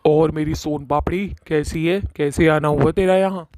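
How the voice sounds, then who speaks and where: A man's voice: a little high, steady tone, normal speed, a little mellow, dry recording, close to an everyday voice. A man voicing a crude, swaggering ghost in a comic horror cartoon, blustering and threatening. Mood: boastful. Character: swaggering male ghost, crude, bullying, menacing. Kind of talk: Hindi cartoon horror-comedy acting.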